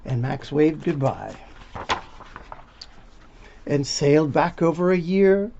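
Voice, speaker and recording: Slow, gravelly man reading a storybook. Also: a little high, animated, warm tone. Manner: informal, interactive, addressing young listeners. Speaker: volunteer storybook reader. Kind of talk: reading a children's picture book aloud, with chatty asides. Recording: dull lo-fi sound, faint background noise.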